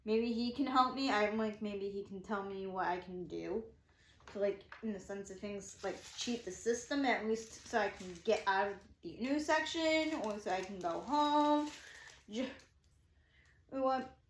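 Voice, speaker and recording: A woman's voice: medium pitch, unhurried, a little hiss or hum. Rambling young woman in echoey room. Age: 20s. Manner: rambling, repeats herself, keeps quoting her own thoughts. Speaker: vlogger. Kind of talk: casual storytelling.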